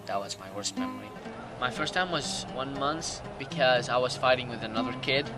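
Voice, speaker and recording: A man in his late teens from Iraq with a Middle Eastern accent gives personal testimony in halting, non-native English. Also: mid-range voice. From Iraq, Jordan and Syria. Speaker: documentary interview subject. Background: music.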